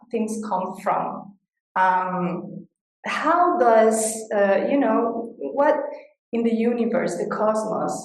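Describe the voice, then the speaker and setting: A middle-aged woman from Mexico, a guest talking in a hesitant way, pausing often with fillers. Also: fairly high pitch. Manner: hesitant, pausing with many fillers and soft laughs. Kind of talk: casual interview chat. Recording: very echoey room.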